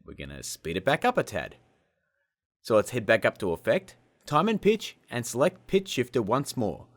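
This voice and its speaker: A man hosting a casual software tutorial in a cheeky, self-mocking way, with jokey asides. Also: mid-range voice, wildly swooping pitch, normal speed. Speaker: host of a video-editing tutorial show. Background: music.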